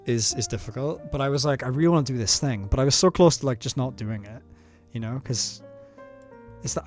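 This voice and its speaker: A South Welsh man in his thirties talks casually over his own footage at an ordinary pitch. He sounds nervous and self-mocking, breaking into laughter and swearing freely. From South Wales. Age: 30s. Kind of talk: casual commentary.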